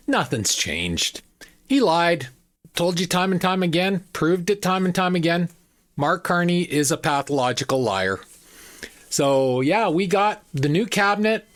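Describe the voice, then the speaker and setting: A host giving opinionated political commentary in an emphatic, repetitive and scornful manner. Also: mid-range voice.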